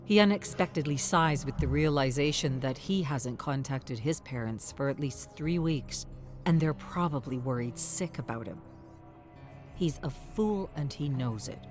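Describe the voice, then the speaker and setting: Low-voiced woman narrating a story over music. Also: measured pace, darkish tone.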